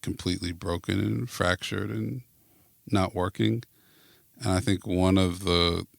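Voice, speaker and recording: A man's voice: low voice, creaky, fairly bright tone, no echo, studio quality. A man being interviewed as a podcast guest, chatting casually and thinking aloud as he goes. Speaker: podcast guest, network founder.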